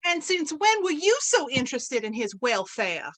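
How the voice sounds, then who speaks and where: A woman's voice: high-pitched, sing-song, normal speed, fairly bright tone. A woman playing a competitive, defiant small-town housewife in an old-time radio western, emphatic and catty. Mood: accusing, defiant.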